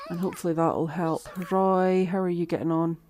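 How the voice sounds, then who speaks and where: A woman, chatting through a live-streamed game, a little hoarse and talking to her characters. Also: fairly low pitch, steady tone, moderate pace, dark tone, a little hiss or hum. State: slightly hoarse, losing her voice. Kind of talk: live-stream gameplay commentary.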